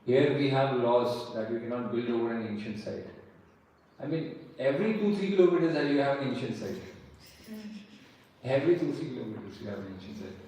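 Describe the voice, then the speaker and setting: A man's voice: mid-range voice, brisk, warm tone. A man giving a lecture on history and archaeology and answering audience questions, explaining patiently and repeating key points. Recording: echoey hall, mic far off, faint ambience.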